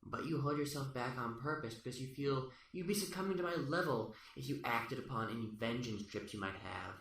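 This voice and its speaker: A man's voice: mid-range voice, a little fast, close to an everyday voice. A man acting a smug, taunting serial killer who philosophizes without remorse in an amateur radio play. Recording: roomy, distant-mic sound under loud music.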